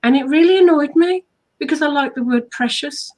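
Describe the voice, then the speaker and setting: Chatty English woman, high voice. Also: brisk, background noise. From England. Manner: chatty, playful, storytelling. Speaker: author and hypnotherapist co-host. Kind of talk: casual online chat.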